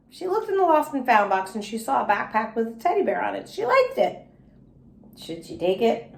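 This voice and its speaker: A woman teacher reading a children's story aloud in an expressive, instructive way, pausing to quiz her young listeners. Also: on the high side, vocal fry. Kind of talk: children's story read-aloud with questions to pupils. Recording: echoey room.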